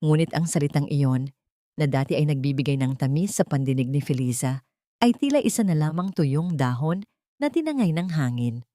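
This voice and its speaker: A woman's voice: low voice, animated, moderate pace, warm tone, no echo. A Filipino woman reading a scripted dramatic story as its narrator.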